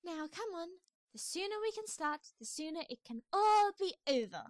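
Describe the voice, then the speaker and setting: A woman's voice: very high voice, sing-song, moderate pace, no room sound, clean studio sound. A woman playing a refined, fussy fashionista pony in an amateur audio drama, with an affected, genteel and dramatic manner. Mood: brisk, fussy.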